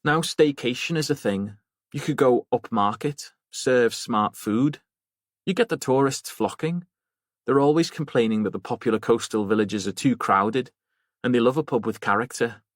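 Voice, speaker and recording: A man's voice: medium pitch, moderate pace, dry recording, clean studio sound, lightly acted. A man voicing a self-possessed, forthright female detective talking casually in an audiobook. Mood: casual, probing. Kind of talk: audiobook character voice.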